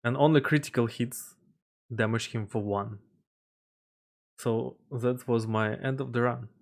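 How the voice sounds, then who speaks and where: Halting non-native English-speaking man. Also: medium pitch, fairly even intonation, gravelly. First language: not English. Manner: halting, many fillers and restarts. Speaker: indie game developer. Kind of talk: casual devlog voice-over over gameplay.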